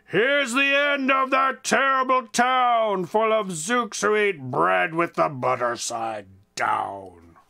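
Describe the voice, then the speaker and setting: A man's voice: high-pitched, animated, slow pace, vocal fry, few pauses, pushed and bright, pitched above the performer's own voice, exaggerated voice. A man voicing a stubborn old grandfather in a storybook, proclaiming emphatically and eager for battle. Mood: defiant.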